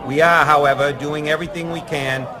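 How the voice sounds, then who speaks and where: A man's voice: on the high side, fairly even intonation, fairly quick, recorded from a distance, a crowd in the background, natural voice. An actor playing a male town mayor who makes formal speeches to the public. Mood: placating.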